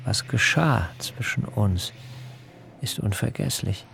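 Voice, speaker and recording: An actor playing a wily, supple, disdainful biblical twin in a radio drama, speaking in a measured, pointed way. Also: male voice, fairly low pitch, animated, fairly bright tone, exaggerated voice. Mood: disdainful, private. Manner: measured, pointed, smoothly evasive. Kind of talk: German literary radio drama acting.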